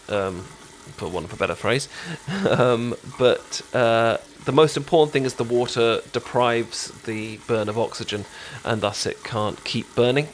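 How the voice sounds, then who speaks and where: A British man playing a caring, chatty best friend in an intimate ASMR roleplay, talking casually with halting, stammering explanations. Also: mid-range voice, lightly acted. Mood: gentle, reassuring. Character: caring, chatty male best friend teaching sushi making.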